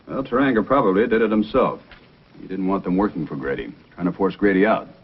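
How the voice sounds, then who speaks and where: A man acting a calm, authoritative Mountie sergeant in an old-time radio adventure, speaking firmly and deliberately. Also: low voice, slightly rough, lo-fi sound. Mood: explaining, stern. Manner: deliberate, firm, commanding. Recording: old band-limited radio recording, music.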